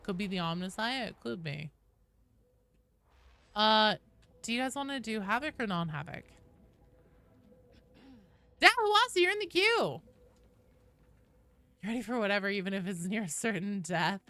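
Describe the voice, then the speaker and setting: A woman's voice: mid-range voice, moderate pace, fairly bright tone. A woman hosting a game livestream, chatting casually and informally with viewers, swearing freely. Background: faint video game sounds.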